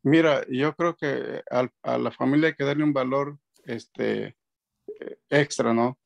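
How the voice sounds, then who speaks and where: A man's voice: medium pitch, fairly even intonation, unhurried, vocal fry, lo-fi sound. A man in his fifties on a video call from home, a band's keyboardist chatting casually, rambling with many fillers and restarts. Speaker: band keyboardist, guest. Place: indoor room at home. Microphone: video call webcam.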